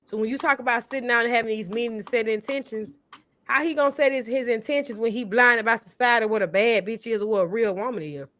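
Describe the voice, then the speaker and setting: A woman's voice: on the high side, quick pace, a bit bright, no echo. A woman in her thirties chatting casually on a panel, blunt and emphatic, with a lot of swearing. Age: 30s. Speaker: podcast panelist. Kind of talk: casual chat.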